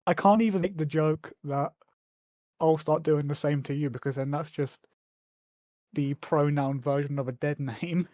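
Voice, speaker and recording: A man's voice: on the high side, moderate pace, mellow, dry recording. Hesitant man with a dry sound. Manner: hesitant, many ums and restarts. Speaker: podcast co-host. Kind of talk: casual chat.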